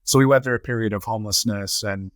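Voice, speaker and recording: A middle-aged man in his forties or fifties, a podcast guest, answering interview questions in a measured way with many ums and uhs. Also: on the low side, no echo, studio quality. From United States. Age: 40s to 50s. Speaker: podcast guest, nonprofit CEO.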